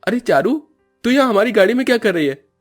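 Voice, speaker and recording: A man's voice: high-pitched, expressive, fast talker, soft background music, voice pushed up. A man voicing a young groom in a cartoon story, a mildly scolding brother and husband. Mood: surprised, scolding. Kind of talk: Hindi cartoon story acting.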